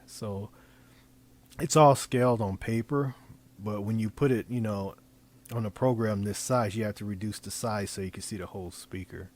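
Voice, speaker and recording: A man talking through an informal software demonstration, improvising in a halting way as he thinks aloud and repeats phrases. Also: fairly low pitch. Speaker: presenter demonstrating design software. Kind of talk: informal screen-recording tutorial, improvised.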